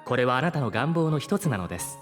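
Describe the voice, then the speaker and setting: A man playing a polite, calm mechanical guide in a Japanese superhero audio drama, speaking formally and precisely. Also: mid-range voice, quick pace, soft background music, lightly acted. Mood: politely insistent.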